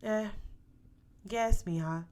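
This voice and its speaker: A woman reading the part of a gentle, cautious mother speaking hesitantly to her teenage daughter in a story. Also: mid-range voice, slow pace, lightly acted.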